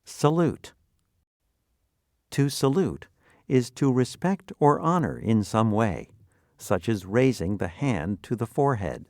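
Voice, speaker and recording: A man's voice: mid-range voice, sing-song, slow pace, darkish tone, dry recording, studio quality. A man narrating a scripted vocabulary lesson, reading out definitions and example sentences.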